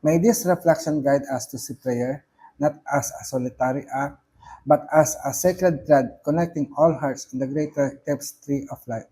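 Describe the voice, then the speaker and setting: A man with a Filipino accent, speaking English as a second language, giving a measured, solemn reflection on a prayer with occasional fillers. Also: a little high, dark tone, slight background noise, rough recording. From Philippines. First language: not English. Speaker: reverend giving commentary.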